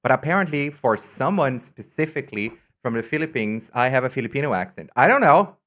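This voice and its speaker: A man's voice: medium pitch, a little fast. Campy, chatty male streamer from Brazil. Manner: chatty, campy and excitable, trails off into asides. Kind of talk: casual live-stream chat.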